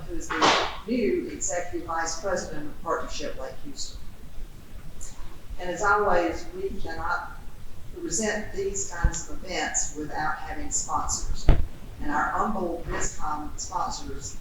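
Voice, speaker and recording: A woman hosting a local business event, talking loudly and in a friendly, slightly rambling way. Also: lowish voice. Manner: loud, friendly, lightly rambling. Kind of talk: welcoming remarks at a community business event. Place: new school auditorium. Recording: echoey auditorium from far off, noisy.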